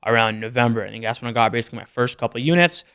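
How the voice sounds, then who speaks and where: A man's voice: medium pitch, racing, fairly bright tone, clean tone. A young man from Canada, a podcast guest talking casually and matter-of-factly about his business numbers. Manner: matter-of-fact, rattles off numbers. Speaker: guest, entrepreneur. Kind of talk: casual interview chat.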